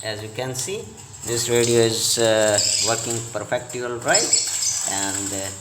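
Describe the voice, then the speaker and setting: A man giving an unscripted step-by-step repair tutorial in short, halting, repetitive instructions, recorded in an echoey room. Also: mid-range voice, over loud music. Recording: echoey room, loud noise and clicks.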